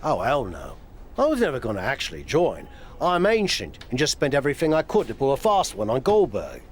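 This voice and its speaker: Lively, wry veteran wrestler mentor. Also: male voice, medium pitch, fairly quick, a little hiss or hum. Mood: wry, teasing. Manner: frank, coaxing, wry. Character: aging veteran wrestler mentor, proud, crafty, teasing. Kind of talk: video game cutscene acting.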